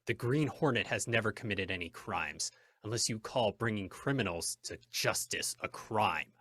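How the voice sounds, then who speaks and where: An actor playing a stern, righteous masked crime fighter in an old-time radio drama, with formal, deliberate, commanding phrasing. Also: male voice, lowish voice, expressive, pushed and bright. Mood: cool, commanding.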